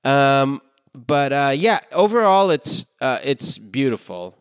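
A man's voice: mid-range voice, sing-song, slow pace, smooth, no room sound. A man co-hosting a podcast remotely from a hotel room, talking casually and rambling with lots of fillers. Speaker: podcast co-host. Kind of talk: casual chat. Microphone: remote call from a hotel room.

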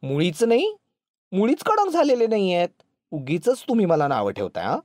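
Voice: medium pitch, expressive, brisk, darkish tone, dry recording, studio quality, natural voice. A narrator voicing a touchy, dramatic young village wife who talks in an emotional, scolding, plaintive way. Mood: indignant.

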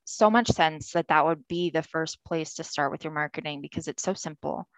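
Encouraging woman host with a gravelly low voice. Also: normal speed, dry recording, rough recording. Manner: warm, encouraging, affirming interviewer. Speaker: podcast host. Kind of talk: casual interview chat. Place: home in Texas. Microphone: remote video call.

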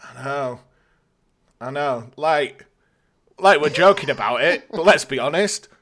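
A man's voice: fairly high pitch, animated, measured pace, fairly bright tone. A man co-hosting a podcast, chatting casually in a candid, self-deprecating way. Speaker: podcast co-host. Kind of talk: casual chat.

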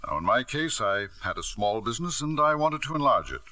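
Cold self-made millionaire, sardonic, crisp, bright tone, old radio play. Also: male voice, mid-range voice, very animated, brisk, no room sound. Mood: cool menace. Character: ruthless self-made millionaire father, knighted. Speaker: narrator and lead character. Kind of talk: 1940s radio acting. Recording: dry old radio broadcast.

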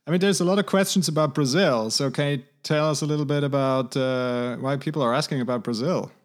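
Middle-aged man hosting, halting with fillers. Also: mid-range voice. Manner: friendly, halting with many fillers. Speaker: podcast host. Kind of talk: interview questions.

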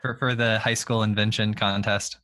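A man's voice: fairly low pitch, little pitch movement, normal speed, bright, forceful tone. A young man hosting a podcast, chatty and casual, filling his talk with "like". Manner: chatty, heavy use of "like". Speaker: podcast host.

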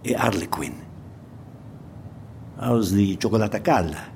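An actor playing a mysterious masked Venetian mask-maker in an audio drama, hushed, terse and cryptic. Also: male voice, on the low side, measured pace, creaky, slight background noise. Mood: cryptic, guarded.

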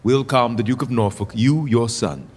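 A man's voice: medium pitch, normal speed, a little mellow, husky, close to an everyday voice. A man playing a commanding young king, speaking Shakespearean verse with formal authority. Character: young king, regal, commanding, arbitrating a quarrel. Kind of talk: Shakespearean verse drama acting. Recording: long echoing hall reverb.

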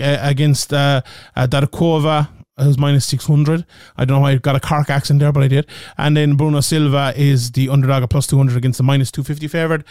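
A man's voice: on the high side, darkish tone, clear, resonant tone, no echo, studio quality. An Irish man hosting a casual betting show, thinking aloud and checking the odds as he talks. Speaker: host. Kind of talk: casual betting picks talk.

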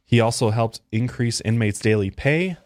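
A man co-hosting a casual true-crime podcast, reading case facts aloud in a relaxed way with fillers and asides. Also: mid-range voice, moderate pace, dry recording, studio quality. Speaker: podcast co-host. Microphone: studio podcast mic.

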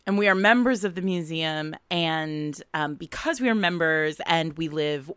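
A middle-aged woman podcast host chatting casually and telling anecdotes in an animated way, acting out the dialogue. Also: deep voice, unhurried, clear, resonant tone, dry recording. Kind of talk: casual conversational storytelling.

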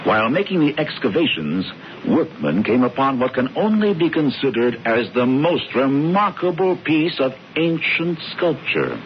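An actor playing a brisk, smugly amused man in a radio drama, teasing and declaiming grandly. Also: mid-range voice, very animated, lightly acted. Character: brisk, theatrical American man bossing his brother, smugly amused. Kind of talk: 1970s radio drama acting. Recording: dull, noisy old radio broadcast.

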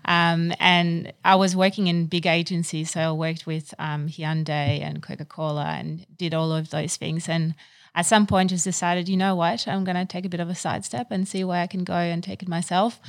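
A woman's voice: on the low side, little pitch movement, moderate pace, gravelly, dry recording. A woman in her thirties from Ukraine, a business owner answering interview questions in a chatty way with many fillers and restarts.

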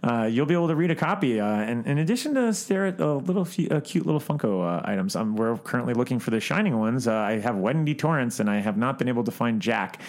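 A man co-hosting a podcast in casual chat, with a halting, rambling way of talking. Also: medium pitch, expressive. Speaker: podcast co-host. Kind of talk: casual chat about books, films and collectibles.

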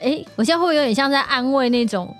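Lively, high-voiced Taiwanese woman interviewer. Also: racing, faint music behind. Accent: Taiwanese Mandarin. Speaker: guest podcaster asking questions. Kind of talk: casual chat interview.

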